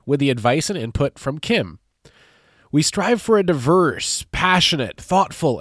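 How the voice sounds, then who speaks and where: A man's voice: on the high side, no room sound, studio quality. A man with a stuffy nose from a cold, hosting a podcast in a friendly, earnest tone. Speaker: podcast host. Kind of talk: casual podcast talk.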